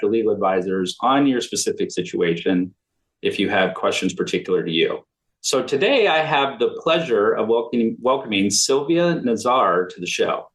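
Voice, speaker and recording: Low-voiced male podcast host. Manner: friendly, conversational, brief affirmations. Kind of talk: podcast interview.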